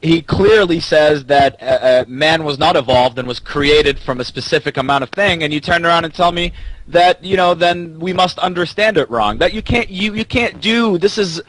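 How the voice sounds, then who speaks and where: A man with a slightly high, husky voice argues in an unscripted panel debate. He stammers and repeats himself as he pushes his point, and the sound is dry and close. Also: normal speed, fairly bright tone, no room sound, low-quality recording. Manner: argumentative, repetitive, stammering.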